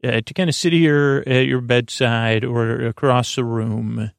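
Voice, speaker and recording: A man hosting a sleep podcast, talking gently in a meandering, halting, goofy monologue with a creaky voice. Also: medium pitch, fairly even intonation, no room sound, clean studio sound. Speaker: podcast host.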